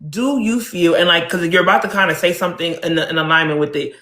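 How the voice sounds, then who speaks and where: A woman's voice: lowish voice, steady tone, fast talker. Encouraging woman host in an echoey room. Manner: encouraging, many soft "mm" listening sounds. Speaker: live stream host. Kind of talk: hosting a live call-in chat.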